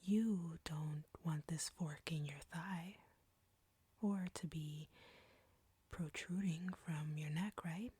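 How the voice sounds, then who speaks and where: Slow, breathy, whispering vampire seductress played by a woman. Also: low-pitched, warm tone, no echo, heavily acted. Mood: playful menace. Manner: slow, taunting, condescending. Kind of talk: intimate whispered roleplay audio drama.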